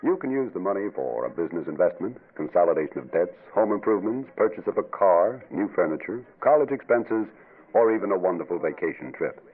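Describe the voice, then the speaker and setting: A man's voice: low-pitched, big pitch swings, a little fast, slightly rough. A man reading a scripted radio advertisement as an announcer, persuasive and emphatic. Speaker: commercial announcer. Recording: tinny band-limited radio recording.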